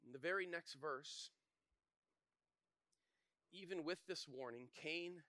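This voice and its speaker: Male pastor, storytelling sermon, high bright voice, dry close sound. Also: no room sound. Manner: animated and shouting in the story, then quiet and earnest. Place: church.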